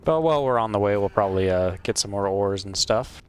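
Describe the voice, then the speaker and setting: A man's voice: lowish voice, expressive. A man hosting a let's play, casually commenting on his game in a hesitant, rambling way full of ums and uhs, recorded on a wired mic at a home gaming setup. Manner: hesitant, filler-heavy, unhurried rambling. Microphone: wired microphone.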